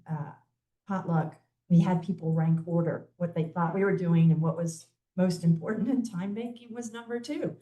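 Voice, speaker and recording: A woman's voice: fairly low pitch, dark tone. A woman giving an informal community talk and answering questions, conversational with frequent ums. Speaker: community volunteer speaker. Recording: echoey room.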